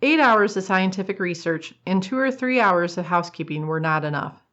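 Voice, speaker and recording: Female narrator for a radio play, slightly low voice, scripted storytelling, somewhat muffled audio. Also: animated, normal speed, fairly bright tone.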